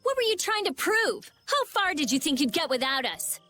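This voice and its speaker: A woman voicing a prickly, sharp-tongued teenage girl in a video game, with snappy, sarcastic delivery. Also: high-pitched, very animated, moderate pace, faint music behind, heavily acted. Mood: scolding.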